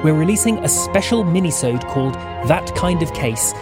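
Man reading podcast credits over loud music. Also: on the high side, expressive, moderate pace. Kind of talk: scripted narration.

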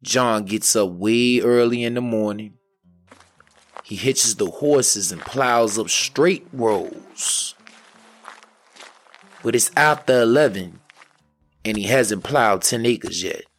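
A man narrating an old folk tale as a storyteller, in a measured way with dramatic pauses. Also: medium pitch, faint music behind. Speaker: storyteller, podcast host.

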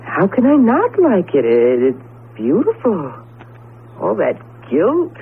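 Animated, exasperated radio-drama wife. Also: female voice, medium pitch, takes it slowly, narrow, tinny audio, heavily acted. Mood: wry, bemused. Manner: theatrical, wry, emphatic. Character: exasperated, sardonic wife of an Egyptology professor. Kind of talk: 1970s radio drama acting. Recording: thin, noisy 1970s radio recording.

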